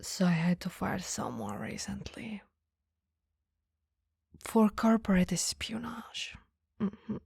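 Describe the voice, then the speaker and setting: A woman playing a coy, flustered female boss in a flirty ASMR roleplay, speaking in soft, breathy whispers with a teasing, hesitant manner. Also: mid-range voice, big pitch swings, slow pace, pauses often, no echo, studio quality, full character voice. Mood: gossipy, confiding.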